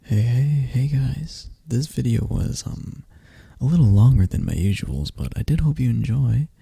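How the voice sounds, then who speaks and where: Gravelly, husky ASMR creator, man. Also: mid-range voice, moderate pace, no room sound, studio quality. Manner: relaxed, rambling, soft-spoken. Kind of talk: casual outro to viewers.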